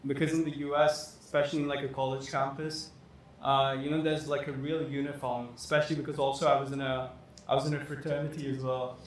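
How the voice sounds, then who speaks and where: A man's voice: medium pitch, flat delivery, quick pace. Young man from Dubai, chatty guest who rambles with fillers; very echoey room, faint hiss. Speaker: fashion designer guest. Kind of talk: casual interview talk.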